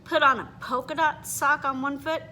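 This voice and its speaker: A woman reading a children's picture book aloud in an expressive, animated way, pausing to put questions to her listeners. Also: sits high, a little hiss or hum. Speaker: read-aloud storyteller.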